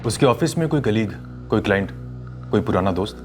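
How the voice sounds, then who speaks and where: An actor playing a methodical police investigator who asks measured questions. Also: male voice, on the low side, expressive, music in the background, natural voice. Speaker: police officer.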